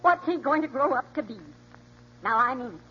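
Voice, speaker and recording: A woman's voice: sits high, lively intonation, normal speed. An actress playing a stern, exasperated mother of grown boys in a 1940s radio drama. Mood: reproachful, firm. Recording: muffled vintage radio, faint noise.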